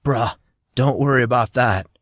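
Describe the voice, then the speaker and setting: A man's voice: mid-range voice, normal speed, fairly bright tone, no echo, studio quality, close to an everyday voice. A man voicing a blunt, slangy teenage boy with a mocking, teasing manner in an audiobook. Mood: breezy teasing.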